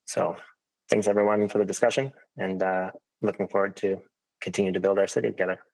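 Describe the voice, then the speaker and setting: A man's voice: sits low, level tone, a little fast, vocal fry, darkish tone, no echo, poor audio. A man giving an earnest, conciliatory prepared statement at a council hearing, with frequent fillers. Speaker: public speaker at a council hearing. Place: council chamber.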